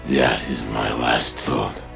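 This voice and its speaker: An actor playing a weary, passionate robot warrior in a video game, speaking in a halting, theatrical way. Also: male voice, a bass voice, little pitch movement, gravelly, over music, exaggerated voice. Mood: anguished, tender. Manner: deliberate, halting, theatrical delivery. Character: weary, passionate robot warrior recalling his own death. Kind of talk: dramatic video game voice acting.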